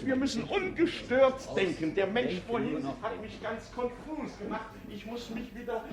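A man's voice: extremely high pitch, lively intonation, a crowd in the background. Befuddled, pompous old king, swooping voice. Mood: moved, solemn. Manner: halting, hemming, repeating himself pompously. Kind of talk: 1950s German radio play acting.

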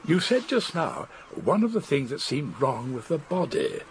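An actor playing a polite upper-class English gentleman who questions and reasons, in a radio drama. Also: a little high, very animated, moderate pace, a little hiss or hum. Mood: questioning.

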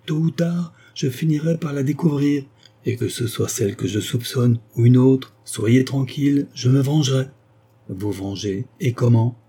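Man doing condemned young woman, vengeful, defiant, dark husky tone, raised pitch. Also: moderate pace. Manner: intense, defiant.

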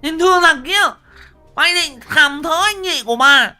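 A man's voice: very high-pitched, moderate pace, bright, forceful tone, soft background music, voice pushed up, exaggerated voice. Man doing a shrieking jealous village woman. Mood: furious. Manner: screaming threats. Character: jealous hot-tempered village woman swinging a hammer.

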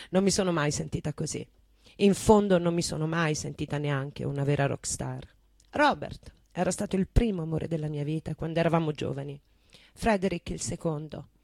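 An actress playing a weary, grieving rock singer who looks back in an intimate, reflective theatre monologue. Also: female voice, low-pitched, gravelly, a little mellow, no echo. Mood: wry, reflective. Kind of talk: dramatic first-person theatre reading. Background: plucked guitar.

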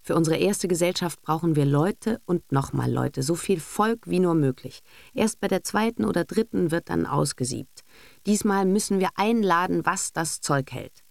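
A woman playing a brisk, cynical, gravelly businessman husband. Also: fairly low pitch, lively intonation, no room sound, pitched above the performer's own voice. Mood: knowing, cynical. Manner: bossy, dismissive, sardonic. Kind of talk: literary audiobook character acting.

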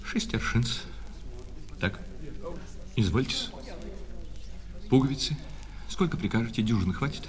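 An actor in a radio play voicing a lovesick shop clerk who swings between polite sales patter and bitter reproach. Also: male voice, medium pitch, expressive, measured pace, pauses often, natural voice. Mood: obliging. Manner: servile sales patter alternating with reproachful, insistent talk. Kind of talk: Russian radio play of a classic short story. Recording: long reverb with music behind.

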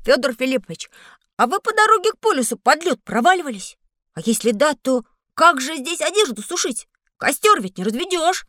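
A woman's voice: high voice, brisk, dry recording, studio quality. A woman voicing a curious, cheeky schoolboy in a scripted children's educational audio play. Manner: inquisitive, expressive questioning. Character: curious busy schoolboy Petya, cheeky and eager.

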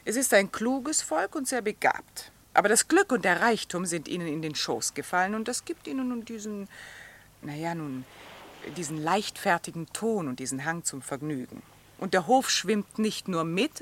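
An actress playing a principled, stern middle-aged countess in a literary radio drama, with formal, pointed diction. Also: female voice, mid-range voice, sing-song, normal speed, a little hiss or hum, lightly acted. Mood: disapproving.

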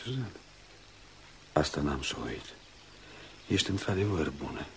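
An actor playing a weary, resolute doctor in a radio drama, speaking gravely and firmly. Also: male voice, sing-song, fairly bright tone, exaggerated voice. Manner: grave, low-key, firm. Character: weary, resolute male doctor guarding his gravely ill patient. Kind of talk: Romanian radio drama acting. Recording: noisy old radio drama recording.

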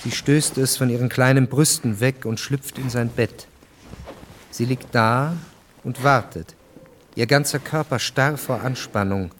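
A man reading scripted literary narration as the narrator of a radio drama, measured and detached. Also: medium pitch, slight background noise.

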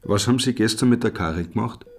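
An actor in a radio drama playing a sceptical, persistent police interrogator with an Austrian accent and a dry, measured, probing manner. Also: male voice, fairly low pitch, natural voice. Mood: probing, insistent. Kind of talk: naturalistic radio drama acting.

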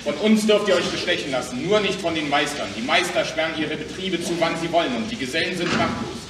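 A man's voice: a little high, fast, natural voice. An actor playing a cheeky, indignant working man in a German radio play, blunt and urgent. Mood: blunt urging. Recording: roomy, distant, noisy.

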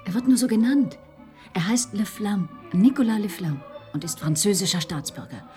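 A woman's voice: mid-range voice, expressive, moderate pace, a little mellow, soft background music, close to an everyday voice. An actress playing a well-informed, composed woman investigator in a radio play. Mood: briskly factual. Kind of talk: German radio play acting.

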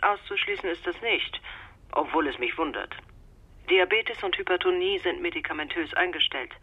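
An actor playing a brisk, wry general practitioner in a radio drama, heard through a telephone filter. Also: male voice, high voice, lively intonation, heavily acted. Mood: businesslike. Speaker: doctor in private practice. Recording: muffled, husky telephone line sound.

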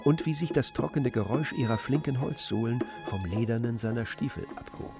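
Man, scripted literary narration, medium pitch, creaky, measured storytelling, soft music underneath.

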